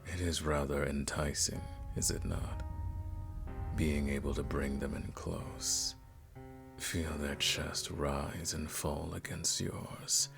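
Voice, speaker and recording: Aristocratic male vampire, played by a man, ASMR roleplay. Extremely low, unhurried delivery; seductive, formal, quietly menacing, breathy. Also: exaggerated voice. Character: suave, predatory aristocratic male vampire, teasing and condescending. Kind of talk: ASMR roleplay, intimate scripted monologue.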